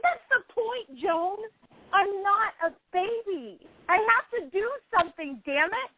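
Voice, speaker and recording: A woman's voice: very high-pitched, lively intonation, measured pace, slight background noise. A woman acting the part of a depressed, envious, defensive actress of almost thirty in a radio play. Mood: upset, frustrated. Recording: thin phone-like audio.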